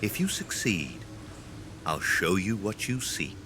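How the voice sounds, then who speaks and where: An actor playing a solemn mystical guardian of sacred grounds in a video game, speaking gravely and ceremoniously. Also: male voice, low voice, sing-song, takes it slowly, background noise, full character voice. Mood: stern, testing. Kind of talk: dramatic video game acting.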